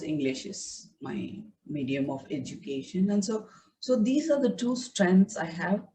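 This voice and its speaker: A woman's voice: fairly low pitch, warm tone, recorded from a distance, low-quality recording. A woman whose first language is Tamil, a writer being interviewed, talking reflectively with many fillers and restarts over a video call.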